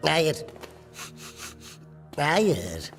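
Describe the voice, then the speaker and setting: A man's voice: a little high, wildly swooping pitch, drawn-out words, heavily acted. A man voicing an odd tracker creature in a cartoon dub, who sniffs things out and talks in broken grammar. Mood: insistent. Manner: broken grammar, speaks of himself by name. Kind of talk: animated series dub acting. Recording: loud music and effects behind voice.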